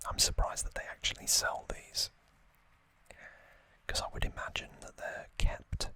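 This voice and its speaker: Softly whispering man, slow ASMR talk. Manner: whispering throughout, hesitant, thoughtful pauses. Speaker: ASMR video host. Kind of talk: whispered ASMR, unscripted explanatory unboxing talk.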